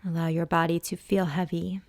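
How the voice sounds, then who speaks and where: A woman guides a body scan meditation in a lowish, very mellow voice. She speaks in a hushed, soothing manner and leaves long pauses between gentle instructions. The sound is dry, with no room echo. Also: level tone. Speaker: yoga and meditation teacher.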